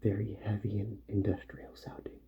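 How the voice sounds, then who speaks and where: A soft-spoken man in an ASMR roleplay, murmuring unhurriedly to the listener as a repair doctor at work, often dropping toward a whisper. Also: on the low side, monotone, normal speed, lo-fi sound.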